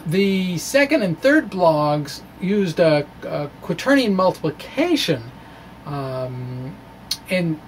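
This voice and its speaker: A man's voice: fairly high pitch, very animated, slow. Quirky middle-aged man presenting science, faint noise. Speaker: host of a physics video blog. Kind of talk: dramatic reading of a science blog with casual asides. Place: small indoor room with bookshelves. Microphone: stand microphone just below the face.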